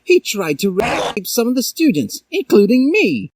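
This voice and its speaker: An indignant teenage schoolboy from a comic story, acted out by a man. He is melodramatic and urgent, his voice pushed high and swinging about. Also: huge pitch swings, measured pace, noisy background, full character voice. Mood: urgent, upset.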